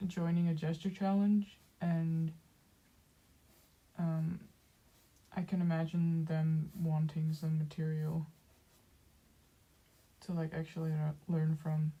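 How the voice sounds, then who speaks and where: A young woman with a Dutch accent, chatting casually with viewers while she draws, speaking softly and haltingly with many fillers and small laughs. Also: low voice, little pitch movement, dull audio. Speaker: streamer. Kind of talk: casual chat while drawing on stream.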